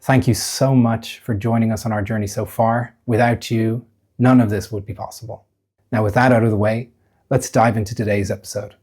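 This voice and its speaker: A man's voice: lowish voice, steady tone, normal speed, a little mellow, breathy edge. A man hosting a podcast, presenting and asking questions in a polite, encouraging manner. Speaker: podcast host, interviewer.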